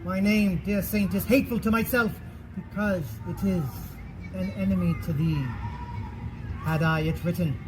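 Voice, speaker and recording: A man's voice: sits high, fairly even intonation, normal speed, over music, a crowd in the background, natural voice. A man performing as an earnest, dreamy husband in a comic stage play. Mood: romantic, earnest. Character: sleepy dreaming husband who fancies himself a romantic Romeo. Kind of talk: theatrical one-act stage comedy acting. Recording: noisy live stage recording.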